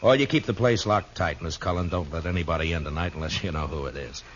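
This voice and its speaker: An American actor playing a stern, world-weary frontier marshal in a radio western, terse and commanding. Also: male voice, low voice, fast talker, slight background noise, lightly acted. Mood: watchful, low-key. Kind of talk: 1950s radio western acting and narration. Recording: muffled 1950s radio recording, music.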